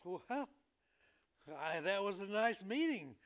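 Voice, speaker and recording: Man playing a slow, hesitant hospital patient. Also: mid-range voice, sing-song. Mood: bewildered. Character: timid, bewildered male hospital patient, Fergie. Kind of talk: staged play reading.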